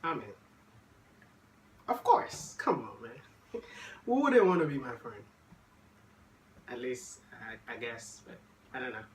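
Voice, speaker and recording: A man's voice: mid-range voice, sing-song, slow, long pauses. Man, 20s, from Haiti; joking, easygoing guest, echoey room with faint noise. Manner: joking, teasing, laid-back. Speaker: guest, college student. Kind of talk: casual chat.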